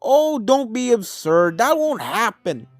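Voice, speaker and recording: An obese glutton of a unicorn stallion, voiced by a male reader, speaks loudly in a raised pitch. The words are drawn out and breezily dismissive, over storybook background music. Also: sing-song, takes it slowly, no room sound, heavily acted.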